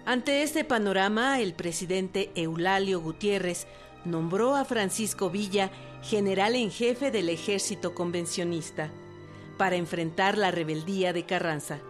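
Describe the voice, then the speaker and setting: A woman narrates scripted history for radio. Her voice is medium in pitch. Music runs clearly behind her. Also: a little slow.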